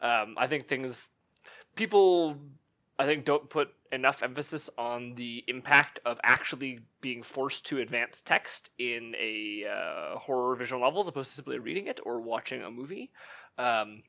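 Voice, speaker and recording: A man hosting a casual podcast discussion, animated and rising in pitch as he lists examples. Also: moderate pace, gravelly. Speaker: podcast host. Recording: dull lo-fi podcast audio.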